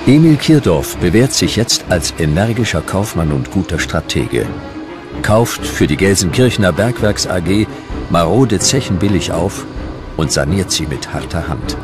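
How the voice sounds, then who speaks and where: A male narrator tells history from a script. His voice is very deep, with lively rises and falls. The delivery is measured and dramatic, and music plays clearly behind him. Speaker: documentary narrator.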